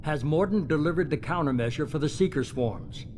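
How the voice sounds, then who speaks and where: A man playing a calculating, authoritative spymaster in a video game, speaking in a measured, commanding way. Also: a little high, animated, darkish tone, a little hiss or hum, lightly acted. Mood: grave, calculating. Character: calculating, authoritative middle-aged male spymaster boss.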